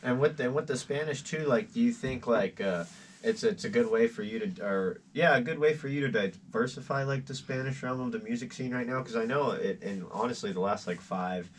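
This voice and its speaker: A man's voice: medium pitch, brisk. A man hosting a podcast interview, chatting in an easygoing way and asking loose follow-up questions. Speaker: podcast host. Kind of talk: casual interview chat. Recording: slightly distant mic with faint background noise.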